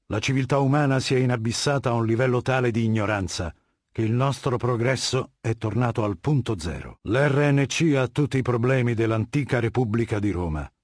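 Game villain male actor: middle-aged dictator warlord, medium-pitched husky voice, articulate, condescending, ruthless. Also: fairly quick, darkish tone, no room sound, clean studio sound, lightly acted. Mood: lecturing, condescending. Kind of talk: video game voice acting.

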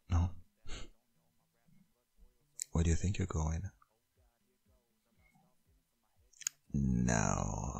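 An extremely deep-voiced boyfriend, acted out by a man, murmurs in a gravelly, creaky tone over music. He is doting and gently dominant, speaking slowly and coaxingly, as in an intimate ASMR roleplay. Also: heavily acted. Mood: firm, tender. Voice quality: husky, gravelly, breathy.

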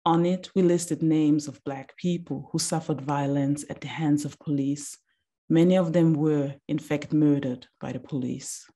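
Woman, thirties, deep mellow voice, deliberate reading, video call. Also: steady tone. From Austria. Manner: slow, deliberate recitation. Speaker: writer reading a reflection. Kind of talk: measured reflective reading. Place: small room indoors.

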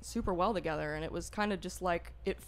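A woman in her twenties from Ohio, a singer guest in a radio studio, soft-spoken and easygoing. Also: on the low side, quick pace, a loud crowd. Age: 20s. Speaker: singer, band member guest. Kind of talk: casual interview chat. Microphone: studio mic on arm, headphones.

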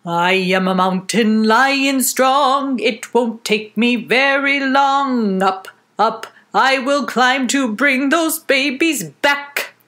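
A woman's voice: sits high, slow pace, hardly any pauses, a bit bright. A woman voicing a strong, proud male mountain lion who sings a boastful chant.

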